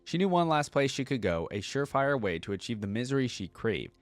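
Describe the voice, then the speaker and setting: A man's voice: medium pitch, big pitch swings, brisk, dry recording. A man reading a story aloud as a video host and reacting to it with informal, casual commentary.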